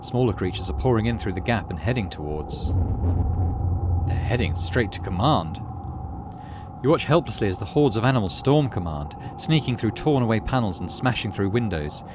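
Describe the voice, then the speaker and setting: Low-voiced man reading a game story aloud. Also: lively intonation, moderate pace, noisy background. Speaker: gaming channel host. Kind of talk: dramatic read-aloud narration of a visual novel; casual let's play commentary between readings. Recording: game music behind the voice.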